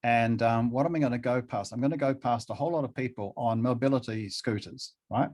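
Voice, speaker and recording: A middle-aged man speaking conversationally as an interview guest, haltingly, often repeating phrases to drive them home. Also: mid-range voice, a little mellow.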